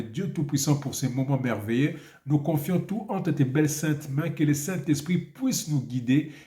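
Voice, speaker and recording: A man preaches in a somewhat high voice and sounds stuffed up from a cold. He delivers his sermon emphatically and keeps asking the listener questions. The room around him echoes. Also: mellow. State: has a cold. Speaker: priest. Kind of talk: video sermon with prayer and Bible reading.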